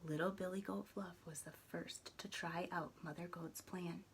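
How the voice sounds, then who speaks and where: A woman reading a children's story aloud in an expressive, deliberate, dramatic way. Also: lowish voice, slight background noise. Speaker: storybook reader.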